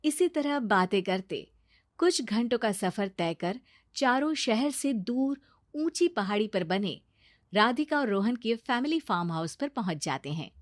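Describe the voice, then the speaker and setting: A woman's voice: a little high, animated, unhurried, no echo. Woman narrating a story, very dry recording.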